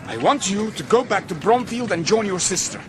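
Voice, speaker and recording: A bold young male adventurer in a medieval radio drama. The voice is high for a man and very animated in pitch, commanding and protective in manner. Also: a little fast. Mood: earnest, commanding. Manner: firm, instructing.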